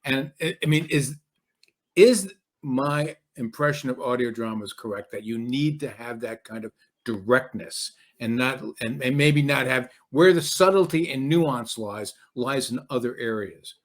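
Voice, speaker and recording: Man hosting, medium pitch, halting. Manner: halting, rambling. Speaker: interview host. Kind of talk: casual interview chat.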